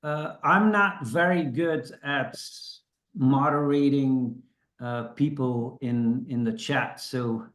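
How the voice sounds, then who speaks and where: A man's voice: mid-range voice, slow, fairly bright tone. Man from belgium hosting an online panel, chatty, keeps restarting sentences. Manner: chatty, self-deprecating, many restarts. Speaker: host.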